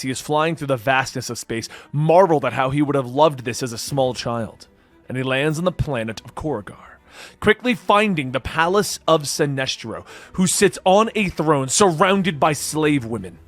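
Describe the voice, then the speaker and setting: A man reading a comic book story as narrator, in a dramatic scripted style. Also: on the high side. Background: background music.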